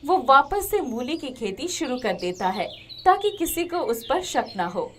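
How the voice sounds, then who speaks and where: A woman reads a moral tale as its narrator in a scripted storytelling style. The recording has clear noise, with birds chirping behind her. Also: high-pitched, very animated, measured pace.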